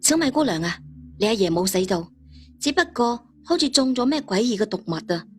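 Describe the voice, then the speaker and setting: A woman voicing a loyal, resolute male adventurer who speaks gravely and earnestly. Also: fairly high pitch, animated, brisk, soft background music, natural voice. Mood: concerned.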